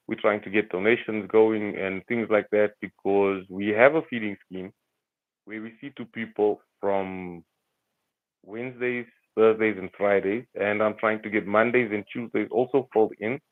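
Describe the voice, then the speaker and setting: A South African man chatting casually to livestream viewers, earnest and rambling. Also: lowish voice. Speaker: livestreamer and community volunteer. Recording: tinny phone-like livestream audio.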